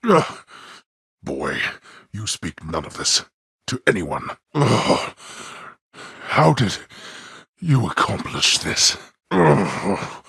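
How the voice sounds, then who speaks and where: A man playing a stern, imperious god of the underworld and disapproving father in a video game, with haughty, formal, deliberate delivery and a gravelly, husky voice. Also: low-pitched, very animated, a bit bright, no echo, clean studio sound, heavily acted. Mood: pained, defeated. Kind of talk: theatrical video game voice acting.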